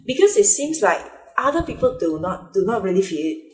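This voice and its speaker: A woman's voice: medium pitch, very animated, fairly quick. A young Malaysian woman with a Malaysian accent, Mandarin her first language, talking hesitantly in a personal vlog with many fillers and restarts. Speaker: vlogger. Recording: echoey room.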